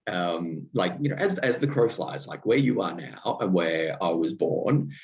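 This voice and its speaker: Deep-voiced Australian man, halting host. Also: expressive, creaky, dull audio. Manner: halting, many fillers and restarts, laughs easily. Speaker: podcast host. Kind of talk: casual interview chat.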